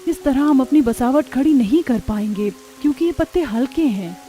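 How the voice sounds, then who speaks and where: A woman's voice: sits high, lively intonation, normal speed, mellow, slight background noise, voice pushed up. A woman voicing a resourceful, earnest young village daughter-in-law in a cartoon story. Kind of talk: acted dialogue in a Hindi cartoon story.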